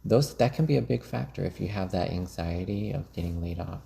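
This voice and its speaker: Low, gravelly-voiced man. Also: expressive, brisk, mellow. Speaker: interview guest working in finance IT. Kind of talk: casual interview conversation.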